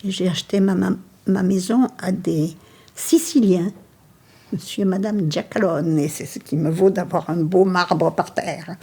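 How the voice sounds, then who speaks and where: A woman in her eighties from France, giving an unhurried account of her life in an interview. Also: on the low side, fairly even intonation, mellow, husky. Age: 80s. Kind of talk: personal testimony.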